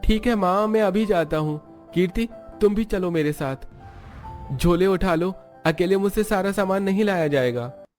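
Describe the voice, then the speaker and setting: A man voicing a cheerful, persuasive young husband and son in an animated family story. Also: high-pitched, moderate pace, faint music behind, voice pushed up, lightly acted. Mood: coaxing. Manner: upbeat, persuasive.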